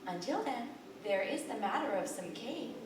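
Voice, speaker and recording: A woman's voice: fairly high pitch, animated, moderate pace, lightly acted. A woman reading the part of a tired, kindly social worker in a live ensemble reading on a theater stage. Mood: announcing. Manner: tired, sighing delivery. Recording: echoey hall, distant mic, noisy.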